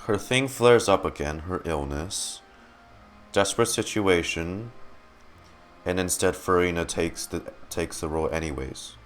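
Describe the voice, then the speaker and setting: Guy streamer reacting to a game, deep voice, lively swings, faint music behind. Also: a little slow. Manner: excitable, repeats words. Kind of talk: casual game reaction commentary.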